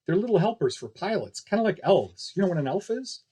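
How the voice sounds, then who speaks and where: Bold young female aviator, read by a man: brisk, plain-spoken, lively swings, faintly husky, audiobook style. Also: high-pitched, voice pushed up, exaggerated voice. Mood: friendly, confiding. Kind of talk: audiobook character dialogue.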